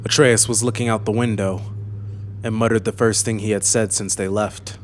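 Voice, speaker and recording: A man narrating a scripted fantasy audiobook in a measured, serious way. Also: fairly low pitch, fairly even intonation, a little hiss or hum.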